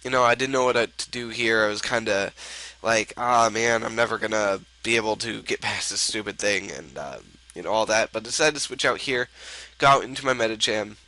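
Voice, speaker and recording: A man's voice: fairly low pitch, fairly quick, sharp tone, low-quality recording. Stuffy-nosed young man rambling over gameplay. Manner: rambling, filler-heavy, laid-back. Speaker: gaming video host. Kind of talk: casual game commentary.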